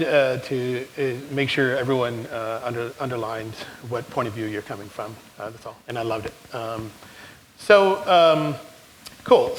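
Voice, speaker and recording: A man moderating a conference panel who speaks in an upbeat, halting way with many fillers. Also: fairly high pitch, fairly bright tone, slight background noise, low-quality recording. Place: conference stage.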